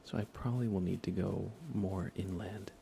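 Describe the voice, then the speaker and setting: A man hosting an ASMR gaming livestream, speaking in a breathy, hushed, reassuring whisper with some vocal fry. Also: deep voice, steady tone, normal speed. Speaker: livestream host.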